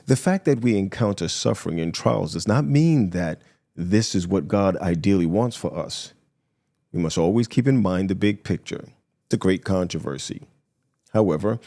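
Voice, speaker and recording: A man's voice: fairly low pitch, mellow. A man, a pastor, reading a scripted devotional lesson aloud to listeners.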